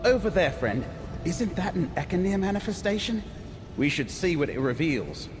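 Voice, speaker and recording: An actor playing an eager, friendly and curious adventurer companion in a video game. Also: male voice, sits high, big pitch swings, normal speed. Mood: curious, alert. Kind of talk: scripted video game dialogue. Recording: long reverb, loud game music behind. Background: game music.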